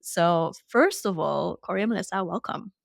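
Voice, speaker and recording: A woman's voice: on the low side, animated, normal speed, creaky, no echo, studio quality. A woman hosting an interview podcast, speaking in an upbeat, enthusiastic way. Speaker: podcast host.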